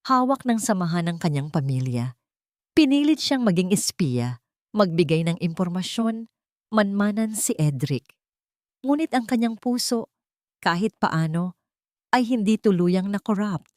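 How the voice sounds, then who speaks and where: A woman's voice: mid-range voice, lively intonation, unhurried, pauses often, darkish tone, dry recording, clean studio sound. A woman reading a dramatic romance story aloud as narrator. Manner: dramatic storytelling, reads all parts herself.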